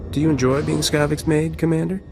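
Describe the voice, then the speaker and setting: A man's voice: fairly high pitch, little pitch movement, a little fast. A man playing a wry, self-assured smuggler captain in a video game cutscene. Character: cocky, dry-witted smuggler captain hero. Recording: clear music behind the voice.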